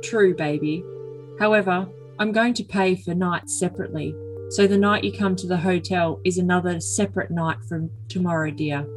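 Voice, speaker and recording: A woman's voice: on the low side, moderate pace, close to an everyday voice, low-quality recording. A woman voicing an earnest, besotted young man with a solemn, stilted manner in an overly dramatic comic message reading. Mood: besotted, suggestive. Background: music.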